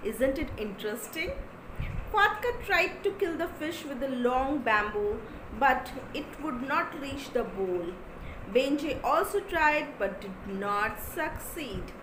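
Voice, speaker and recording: A woman reading a folktale aloud for children with expressive, deliberate, dramatic delivery. She is from India. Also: high voice. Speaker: storyteller. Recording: echoey room with clear background noise.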